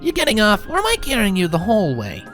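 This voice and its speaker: Casting: woman playing cocky adult flying mare, offhand and breezy, very animated delivery, music bed. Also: medium pitch, quick pace. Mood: breezy, teasing. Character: brash, laid-back adult female pegasus flier, casual and cocky. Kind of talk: animated cartoon-style story dialogue.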